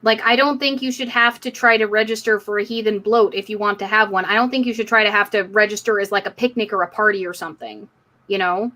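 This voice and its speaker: A woman's voice: medium pitch, steady tone, fast, sharp tone. A woman hosting a live community discussion stream, speaking in an emphatic, outspoken, casual way. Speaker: livestream host.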